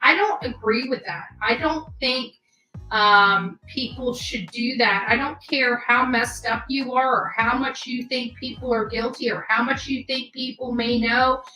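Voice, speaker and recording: A woman with a bright, fairly high voice talks off the cuff on a livestream. Her phrasing is halting, full of filled pauses, with some music behind. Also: fairly even intonation, rough recording. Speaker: livestream host. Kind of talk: unscripted livestream commentary.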